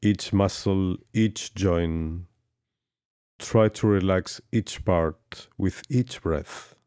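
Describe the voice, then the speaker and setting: Very deep, slow male meditation guide. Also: dark tone, smooth, no room sound, clean studio sound. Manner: slow, soothing instructions with long pauses. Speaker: yoga instructor. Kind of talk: guided yoga nidra meditation.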